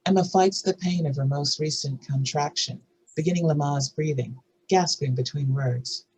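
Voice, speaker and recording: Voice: medium pitch, normal speed, darkish tone, slightly rough, rough recording. A narrator reading screenplay stage directions and scene headings aloud at a scripted table read.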